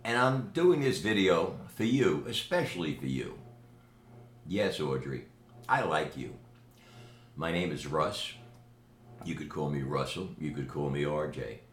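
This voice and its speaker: A man's voice: extremely low pitch, lively intonation. An older man from New York City with a New York accent, recording a boastful, emphatic video message at home. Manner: boastful, emphatic, slow deliberate delivery. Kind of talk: direct-to-camera video message. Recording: echoey room with faint ambient noise.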